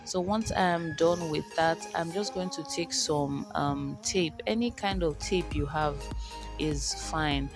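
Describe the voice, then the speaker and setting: A woman's voice: deep voice, takes it slowly. Woman talking over music. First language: Igbo. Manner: casual, hesitant with fillers. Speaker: beauty vlogger. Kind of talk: step-by-step makeup tutorial.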